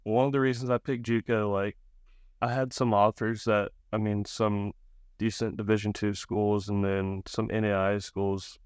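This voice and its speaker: A young man speaks in a clean, resonant voice with very little melody, recorded dry and close. He answers interview questions casually, in a plain-spoken, low-key way. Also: flat delivery, normal speed, a little mellow, clear, resonant tone, no room sound. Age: young adult, about 20. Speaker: college baseball pitcher being interviewed.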